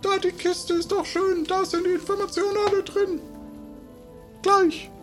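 Greedy undertaker's brother, extremely high voice, pushy and complaining, improv comedy, music behind. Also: male voice, flat delivery, normal speed. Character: shrill, greedy undertaker's brother pushing coffin sales. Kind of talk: improvised role-play comedy.

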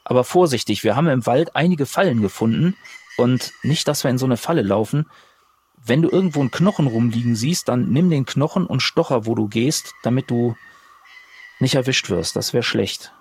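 A man's voice: mid-range voice, animated, normal speed, a little mellow, a little hiss or hum. A man playing a role-playing game, talking casually and improvising in character.

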